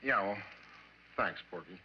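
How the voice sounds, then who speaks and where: A man playing a nervous, fearful American oil worker, halting and hesitant, in a 1940s radio horror drama. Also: low-pitched, animated. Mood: jittery, sheepish. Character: jittery, fearful male oil-rig hand. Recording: noisy, muffled 1940s radio recording.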